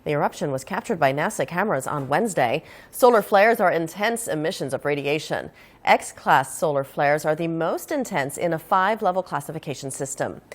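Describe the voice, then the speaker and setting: A woman with a low-pitched voice narrates a scripted news explainer. She reads as a news narrator laying out facts. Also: animated, brisk.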